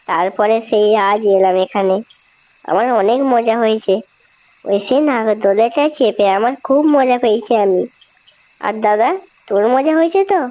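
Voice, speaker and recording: A woman's voice: mid-range voice, warm tone, natural voice. A woman playing a talkative, earnest younger sibling in an amateur horror cartoon. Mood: cheerful. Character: chatty, worried younger sibling lost after a village fair. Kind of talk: amateur animated horror story dialogue. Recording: music behind.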